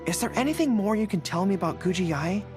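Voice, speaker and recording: Young general for an anime game: eager and dutiful, formal phrasing, very animated delivery over music. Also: male voice, high-pitched, quick pace. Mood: curious, flustered. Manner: earnest, thinks aloud, formal military phrasing. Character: earnest young male general, dutiful, eager, slightly flustered. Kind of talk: English anime game voice acting.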